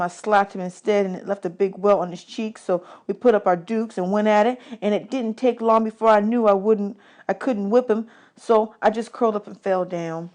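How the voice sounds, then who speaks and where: A woman's voice: mid-range voice, a little mellow, natural voice. A woman reading a storybook, voicing a polite, talkative young orphan boy in a respectful, matter-of-fact way.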